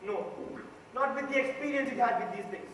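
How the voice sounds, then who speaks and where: A young Indian man on an amateur stage, playing an earnest, quiet juror who grew up in a rough neighborhood. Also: very high-pitched, brisk. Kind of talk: amateur stage drama acting. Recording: echoey theatre hall recorded from far off, hiss.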